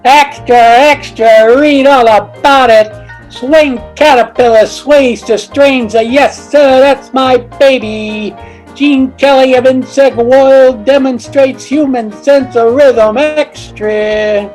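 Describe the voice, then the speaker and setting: A woman's voice: high-pitched, steady tone, takes it slowly, darkish tone, soft background music, rough recording. A woman playing a newspaper seller crying out headlines in an old-time radio play. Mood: proclaiming.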